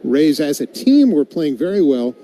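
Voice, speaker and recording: A man's voice: a little high, big pitch swings, moderate pace, mellow. A man calling a baseball game live as a radio play-by-play commentator. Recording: live broadcast with clear background noise.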